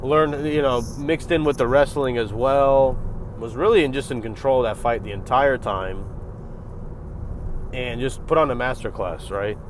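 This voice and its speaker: A man hosting a reaction video, giving casual, profane commentary on a fight, jumping from loud bursts to low mutters. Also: a little slow, slight background noise.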